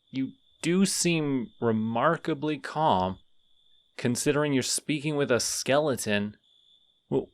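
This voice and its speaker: A man's voice: mid-range voice, dry recording, natural voice. A man voicing a friendly, chatty male skeleton who rambles sheepishly in an audio roleplay. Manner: rambling, sheepish, talks to himself.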